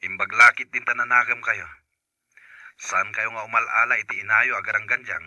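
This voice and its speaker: A man's voice: lowish voice, steady tone, moderate pace, bright, forceful tone, dry recording. A man playing a caring, plain-spoken father in a radio drama, in a dull, narrow recording. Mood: proud. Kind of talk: Ilocano radio drama acting.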